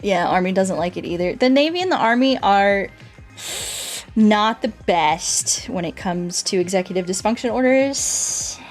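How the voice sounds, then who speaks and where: A woman's voice: mid-range voice, expressive, measured pace. A woman livestreaming a game, chatting casually and playfully with her viewers in a rambling way. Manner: playful, rambling, talks to chat. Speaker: game streamer. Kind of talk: casual livestream chat while playing a game. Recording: music behind the voice. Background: music.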